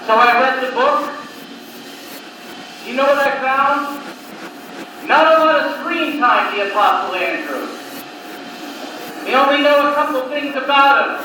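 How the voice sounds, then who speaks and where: A distant, very echoey cassette recording of a man on stage doing stand-up. His voice is strikingly high for a man. He delivers one-liners deliberately, leaving long pauses between them. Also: pushed and bright. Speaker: stand-up comedian. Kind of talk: live stand-up comedy set of one-liners. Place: live comedy venue. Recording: noisy cassette tape, echoey room, distant mic.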